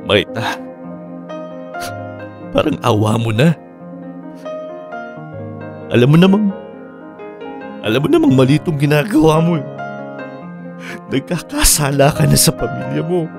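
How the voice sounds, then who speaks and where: A man's voice: a little high, sing-song, unhurried, creaky, pauses often, full character voice. A man acting in a radio drama as a desperate husband, earnestly and insistently imploring his wife to come back. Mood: pleading. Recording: music bed behind the voice.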